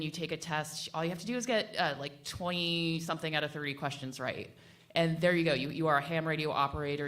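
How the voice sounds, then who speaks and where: A woman's voice: deep voice, fairly bright tone. A woman talking casually as a radio panelist, rambling and correcting herself with offhand asides. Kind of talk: spontaneous, casual panel talk. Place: live panel discussion at a venue.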